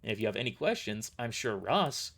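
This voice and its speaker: A man's voice: on the low side, sing-song, fairly quick, creaky, fairly bright tone, heavily acted. A man voicing a cocky young woman mercenary leader, blunt and smugly mocking, in an audio drama. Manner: taunting, smug, blunt.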